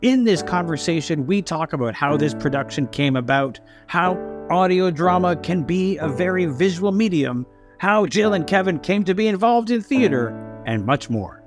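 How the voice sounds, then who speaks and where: A man's voice: high voice, animated, normal speed, music in the background. A man with a Canadian accent hosting a theater podcast, moving between scripted introductions and conversational interviewing.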